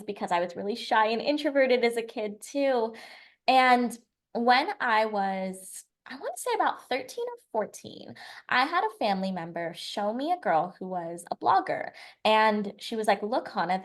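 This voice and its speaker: A woman in her twenties from the United States, a podcast guest telling her life story in a chatty, run-on way. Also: medium pitch. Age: 20s. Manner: chatty, lively storytelling with long run-on sentences. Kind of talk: casual storytelling interview.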